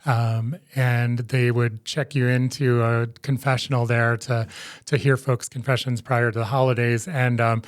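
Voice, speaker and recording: A middle-aged man speaks at an average pitch, recorded dry and close with little room sound. He talks haltingly, filling gaps with ums and restarting phrases. He often answers with a quick yes or yep. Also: level tone, no room sound. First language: English. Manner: hesitant, frequent ums and restarts, affirming. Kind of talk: conversational interview storytelling.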